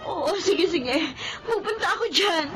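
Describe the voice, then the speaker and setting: A woman's voice: extremely high pitch, expressive, a little slow. A woman playing a spoiled, unfaithful young wife in a melodrama, emotive and whiny, with a Filipino accent. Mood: shocked, sorrowful. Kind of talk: melodramatic radio-style drama acting. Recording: music behind the voice.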